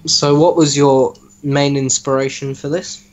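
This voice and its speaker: A man talking casually as an interviewer, with a deadpan, mock-serious teasing manner. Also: medium pitch, a little slow, low-quality recording. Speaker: host interviewing a story's author. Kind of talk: casual joking chat with reading aloud.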